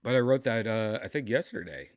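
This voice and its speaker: A tipsy man with a raspy, dry-throated voice, chatting casually as a comedian hosting his livestream, rambling and clearing his throat often. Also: fairly low pitch, animated, a little fast. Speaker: comedian streaming host.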